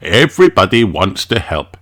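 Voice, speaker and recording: A man reading a children's storybook aloud with expressive, deliberate phrasing, music playing behind him. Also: sits low, no echo, studio quality. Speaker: audiobook reader. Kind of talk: scripted children's storybook narration.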